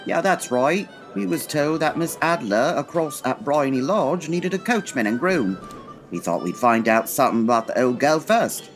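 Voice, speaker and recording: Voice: mid-range voice, animated, normal speed, soft background music, natural voice. An actor playing a matey working-class Cockney groom in a comedy audio drama, colloquial in manner.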